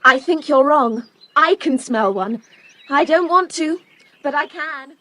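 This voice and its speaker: Bright, high, gentle little girl. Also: animated, a little slow, no echo, exaggerated voice. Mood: reluctant. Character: gentle, timid, kind-hearted little girl. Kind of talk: children's audiobook drama acting.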